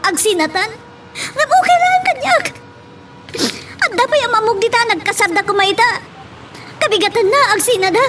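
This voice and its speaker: A woman's voice: very high-pitched, expressive, normal speed, pauses often, background noise. A woman playing a hurt, devoted young wife in an Ilocano audio love drama, with an Ilocano accent. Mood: hurt, tearful. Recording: slightly distant mic with music bed.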